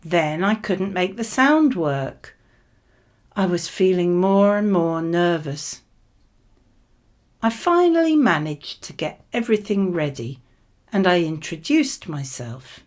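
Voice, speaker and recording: A woman reading model answers for an English speaking exam in a conversational, measured and clearly articulated way. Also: fairly low pitch, big pitch swings, darkish tone.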